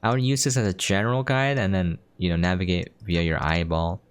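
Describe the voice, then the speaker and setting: A man's voice: low-pitched, steady tone, a little fast, clean tone. A man casually explaining a game as he plays, in an offhand, explanatory way. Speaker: game preview host. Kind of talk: casual gameplay commentary.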